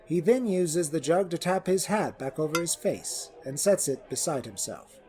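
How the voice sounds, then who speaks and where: A man's voice: on the high side, animated, moderate pace, a crowd in the background. A man narrating a scripted fantasy story as its storyteller.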